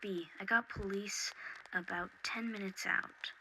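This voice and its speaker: A woman voicing a calm, efficient female comms operator with quiet, clipped reporting in an audiobook. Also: mid-range voice, measured pace, gravelly, husky, exaggerated voice, poor audio.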